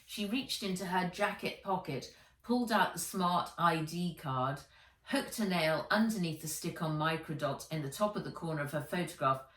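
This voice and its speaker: A woman author reading her children's book aloud as a storyteller, in measured, expressive narration. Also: on the low side. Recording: roomy echo, distant microphone.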